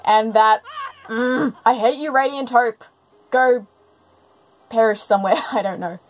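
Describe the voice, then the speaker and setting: High-voiced woman reacting casually. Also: unhurried, a little hiss or hum. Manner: expressive, exclamatory asides. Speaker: reaction video host.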